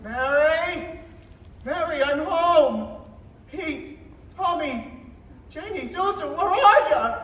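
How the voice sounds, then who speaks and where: A young man playing a frantic, bewildered family man in a high school stage radio play, stammering and urgent. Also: animated, takes it slowly, pauses often, fairly bright tone, heavily acted. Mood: desperate. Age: young adult. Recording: roomy, distant theater recording with faint noise.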